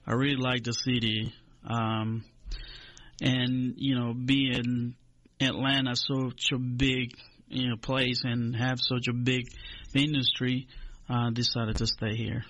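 A man in his forties from Honduras, talking in English, his second language, with a steady mid-range voice. He answers carefully, pausing on fillers as he searches for words. The sound is dry and a little dull. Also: mid-range voice, dry recording. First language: Spanish. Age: 40s. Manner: measured, hesitant with many uh fillers. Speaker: extension agent. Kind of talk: radio interview.

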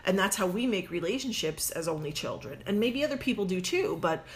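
A woman's voice: medium pitch, expressive, brisk. A woman in her forties co-hosting a podcast, talking in an earnest, reflective way and echoing her own phrases. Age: 40s. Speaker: podcast co-host. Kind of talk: casual podcast chat.

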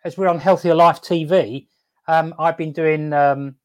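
Halting upbeat man hosting a live stream. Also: a little high, fairly even intonation, a little mellow. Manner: halting, many fillers and restarts, checks in with "okay?". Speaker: host. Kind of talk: casual live-stream chat.

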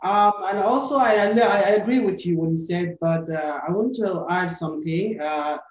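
A man's voice: high voice, moderate pace. A young man from Somalia, a student volunteer, talking hesitantly with many fillers and restarts. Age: young adult. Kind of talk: casual podcast discussion. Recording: roomy echoey recording.

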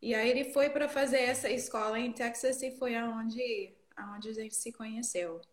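A middle-aged woman from the northeastern United States, a native English speaker, telling her story reflectively and haltingly as an interview guest. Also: fairly high pitch, steady tone, roomy sound. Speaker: interview guest, worship leader. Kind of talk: conversational personal storytelling in an interview.

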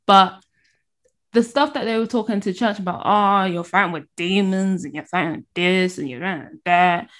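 A woman telling her faith story in casual conversation, often pausing and restarting her sentences. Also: mid-range voice, a bit bright. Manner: halting, restarts, laughs while telling.

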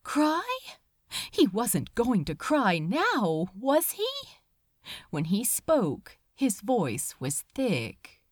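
Woman reading a story, super expressive, takes her time, dramatic pauses, dry close sound. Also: on the high side, dry recording. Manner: emotive, deliberate, dramatic pauses. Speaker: audiobook reader. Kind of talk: dramatic scripted fiction narration.

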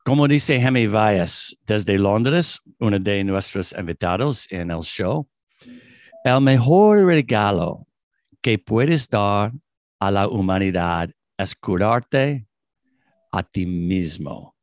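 A man who speaks English as his first language, talking in halting, hesitant Spanish as an interview guest. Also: on the low side, lively intonation, no room sound, clean studio sound. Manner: hesitant, halting non-native Spanish with restarts. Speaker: podcast host, guest. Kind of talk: interview conversation.

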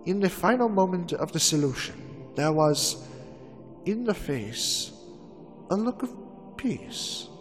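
A man playing a doctor recounting grim events in a measured, solemn radio drama narration. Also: high-pitched, very animated, exaggerated voice. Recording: long reverb with music.

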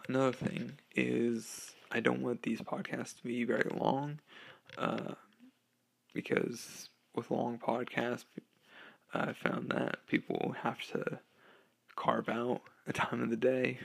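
A sixteen-year-old boy hosting his own podcast, talking casually and hesitantly with lots of ums and uhs, through a low-quality microphone. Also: completely flat delivery, slow, creaky. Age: teens. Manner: hesitant, many ums and uhs, occasional laughs. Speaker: podcast host. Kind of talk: casual solo podcast talk. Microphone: low-quality home microphone.

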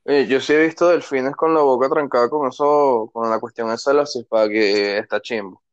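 A man's voice: medium pitch, brisk, dry recording, muffled recording. A man from Venezuela with a Venezuelan accent, chatting and telling stories casually as a podcast co-host. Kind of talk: casual conversational storytelling.

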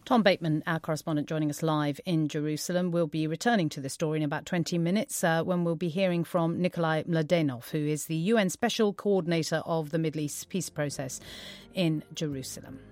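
A woman presenting a radio news programme in a measured, formal way. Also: sits low, steady tone, darkish tone, no room sound.

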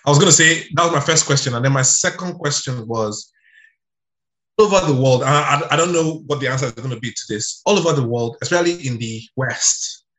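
A man's voice: medium pitch, fairly quick, a bit bright. Hesitant man asking questions, roomy sound. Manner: hesitant, full of fillers. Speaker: interview co-host. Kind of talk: conversational interview questions.

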